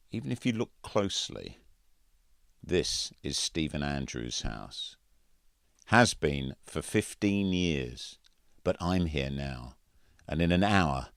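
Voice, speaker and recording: A man narrating a literary first-person audiobook in a measured, deliberate way. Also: sits low, creaky, no echo, clean studio sound. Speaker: author reading own audiobook.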